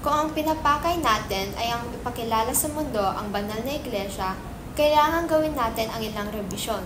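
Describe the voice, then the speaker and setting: Woman as sanctimonious minister, highish voice, formal preachy commands, stage-play reading, echoey noisy room. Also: expressive, a little fast, natural voice. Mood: preachy, commanding. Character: imperious, sanctimonious church minister, demanding and pompous. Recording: echoey room with clear background noise.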